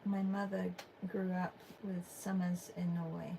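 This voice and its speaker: A woman's voice: on the low side, unhurried, vocal fry, slight background noise, rough recording. A middle-aged Englishwoman, chatting casually as she draws on a livestream and reads chat messages aloud. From England. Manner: relaxed and chatty, thinking aloud with fillers. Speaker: art livestream host. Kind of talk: casual chat while drawing, reading chat aloud.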